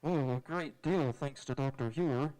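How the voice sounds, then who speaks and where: A man acting as a young space adventurer from a vintage radio serial. His voice has a middling pitch. He speaks politely and sincerely, in measured phrases, like an earnest hero. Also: a little mellow, natural voice, poor audio. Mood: admiring. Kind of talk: old-time radio drama acting. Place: live on an auditorium stage.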